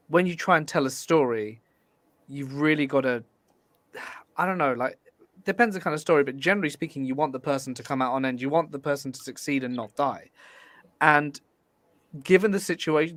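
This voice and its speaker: A man's voice: fairly high pitch, fairly bright tone, no echo. A man chatting informally and emphatically as a guest in a casual debate.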